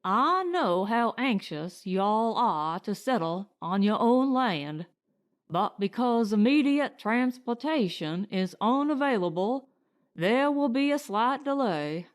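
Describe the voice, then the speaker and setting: A woman voicing a weathered middle-aged male colony director in a storybook, frank, formal and matter-of-fact. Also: fairly high pitch.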